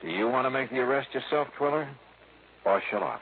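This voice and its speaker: A man playing a brisk, confident, wisecracking insurance investigator in a 1950s radio drama. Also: medium pitch, expressive, natural voice. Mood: firm, accusing. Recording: tinny, noisy vintage radio recording.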